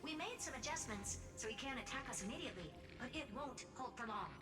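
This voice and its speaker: An impatient young female genius snaps out orders in a high, brisk voice. She sounds distant and roomy, with game music playing loudly underneath. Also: expressive. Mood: urgent. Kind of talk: game voice acting.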